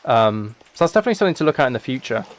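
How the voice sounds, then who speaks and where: A young British man chatting casually as he streams a game, rambling and thinking out loud as he goes. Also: mid-range voice, sing-song, very fast. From United Kingdom. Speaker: live streamer.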